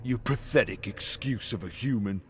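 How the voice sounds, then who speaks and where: A man's voice: on the low side, moderate pace, a crowd in the background, lightly acted. A man voicing a spiteful, disgruntled male sports fan with a sneering, contemptuous manner in a game story. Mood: scornful.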